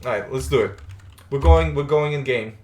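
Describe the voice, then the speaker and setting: Young male streamer, mid-pitched, rambling casual chat, roomy echoey sound. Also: normal speed, darkish tone, slight background noise. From Latvia. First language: Russian. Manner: rambling, chatty, many restarts. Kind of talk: casual live-stream chat while gaming. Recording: roomy echo.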